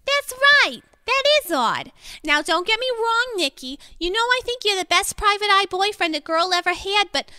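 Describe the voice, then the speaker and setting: A woman voicing an excitable, doting girlfriend of a private eye, chatty and exclaiming, in a comic audio drama. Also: very high voice, normal speed, few pauses, no room sound, clean studio sound, pitched above the performer's own voice. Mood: puzzled.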